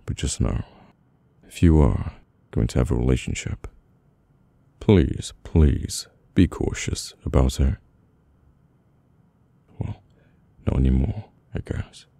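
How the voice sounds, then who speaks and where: A man with a British accent playing a brooding, guarded mafia boss in an intimate, halting, murmured ASMR roleplay. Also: a bass voice, expressive, vocal fry, mellow, dry recording, heavily acted. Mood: awkward, caring.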